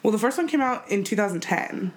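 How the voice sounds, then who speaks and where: A young woman chatting casually as a podcast co-host, nasal from a cold. Her pitch jumps around, lively and expressive. She sounds eager and upbeat. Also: fast. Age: young adult. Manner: lively, enthusiastic. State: sick, nasal. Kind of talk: casual chat.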